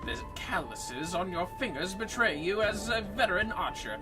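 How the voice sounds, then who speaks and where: A man voicing a sharp, scolding inspector in a game, theatrical, accusing and exasperated. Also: high-pitched, big pitch swings, moderate pace, far from the mic, music in the background, pitched above the performer's own voice. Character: sharp, scolding male inspector interrogating a suspect.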